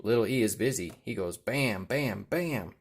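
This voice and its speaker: A man reading a children's picture book aloud, with rhythmic delivery and deliberate emphasis on the sound words. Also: fairly low pitch, animated. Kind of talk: read-aloud children's storytelling.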